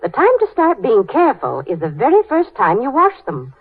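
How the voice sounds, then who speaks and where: A woman's voice: on the high side, wildly swooping pitch, moderate pace, slightly rough, heavily acted. A woman playing a chatty, advising housewife in a 1930s radio advertising playlet. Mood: helpful. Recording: tinny, band-limited 1930s radio broadcast.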